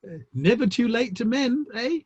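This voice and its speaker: A man playing a breezy, flippant Victorian literary gentleman with an arch, old-fashioned turn of phrase, in a play reading. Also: very high-pitched, animated, moderate pace, breathy edge, no room sound, highs cut off, exaggerated voice. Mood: flippant, soothing.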